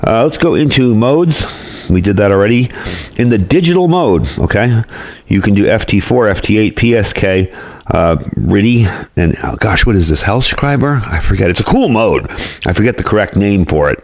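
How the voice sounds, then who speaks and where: A man's voice: lowish voice, animated, normal speed. A man giving a casual, folksy product review and demonstration, explaining things informally as he goes. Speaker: host, gear reviewer. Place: indoors at a desk or workbench.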